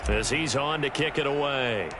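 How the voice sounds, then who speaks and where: A man playing a play-by-play football commentator in a video game, upbeat and brisk in his scripted calls. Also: medium pitch, heavy background noise, lightly acted. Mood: engaged, matter-of-fact.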